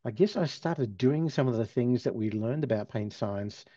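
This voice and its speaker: A man in his sixties from Australia, with an Australian accent worn toward American, relaxed and storytelling as an interview guest, with soft chuckles. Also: mid-range voice, moderate pace, no room sound. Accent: Australian, softened toward American. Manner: relaxed, chuckles while telling stories. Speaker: physical therapy professor, guest. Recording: dull lo-fi sound.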